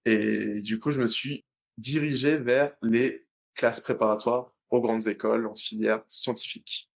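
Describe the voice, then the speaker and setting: A man in his late twenties with a French accent, a podcast guest telling his own story in a relaxed way, often restarting his sentences. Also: medium pitch, unhurried, creaky. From France, born in Madagascar. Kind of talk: interview.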